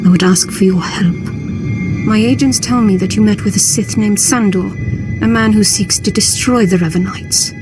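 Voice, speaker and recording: An actress playing an earnest, conspiratorial Sith cult leader in a video game, speaking in a measured, solemn way. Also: female voice, medium pitch, over music, close to an everyday voice. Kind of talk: video game dialogue acting.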